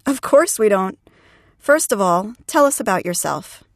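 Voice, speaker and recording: A woman's voice: mid-range voice, lively intonation, unhurried, dry recording, studio quality. A woman interviewer reading scripted questions and introductions for a listening exercise.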